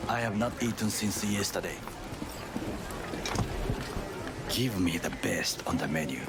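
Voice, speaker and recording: An actor playing a stern middle-aged bodyguard in a video game, with a Japanese accent and formal, terse phrasing. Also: male voice, on the low side, lively intonation, brisk, vocal fry. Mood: commanding, dry. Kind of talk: cinematic video game dialogue. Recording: game dialogue over street and vehicle noise.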